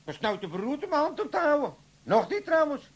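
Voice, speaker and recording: A man's voice: extremely high pitch, big pitch swings, fairly quick. A cantankerous old working-class Amsterdam dad in a radio comedy. His delivery swings widely up and down as he blusters and insults, greedy and impatient. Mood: grumbling. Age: older. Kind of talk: comic radio play acting.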